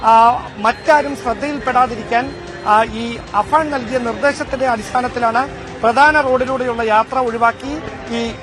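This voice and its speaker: A man reports live. He talks in long, halting explanations that circle back on themselves, out on a street with crowd and traffic clearly around him. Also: very high-pitched, steady tone, over music, rough recording. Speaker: field news reporter. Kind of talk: live news report, unscripted commentary.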